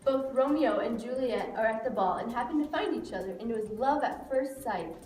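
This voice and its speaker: Higher-voiced woman narrating in an echoey room. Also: brisk, darkish tone. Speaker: narrator of a puppet show. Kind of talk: scripted narration. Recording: echoey room, distant mic, music behind.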